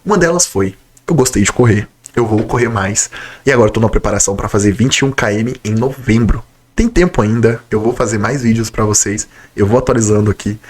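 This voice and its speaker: A young man with a Brazilian Portuguese accent talks fast and eagerly straight to his viewers in a casual vlog. His voice sits at an ordinary middle pitch and has a slight nasal, stuffy quality from a cold. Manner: conversational, enthusiastic, direct address to viewers. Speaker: nutritionist vlogger. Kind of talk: casual talking-to-camera vlog.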